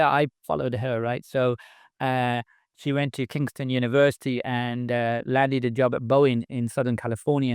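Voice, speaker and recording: A man's voice: mid-range voice, smooth, no echo, clean studio sound. Chatty Birmingham-accented middle-aged man. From England. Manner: chatty, frequent "right?" tags and light laughs. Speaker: startup founder, guest. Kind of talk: casual podcast interview.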